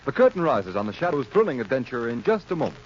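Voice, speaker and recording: A man's voice: medium pitch, very animated, fast talker. A male American radio announcer reading scripted copy in a formal, emphatic 1930s style. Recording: muffled, noisy 1930s radio recording.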